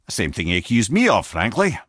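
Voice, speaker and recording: A clever old Scottish talking-head advisor from a video game. He is an eloquent, wry storyteller with a husky grain and very lively pitch. The sound is close and dry, with no room to it. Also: brisk, no echo, exaggerated voice. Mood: earnest. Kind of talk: video game character voice lines.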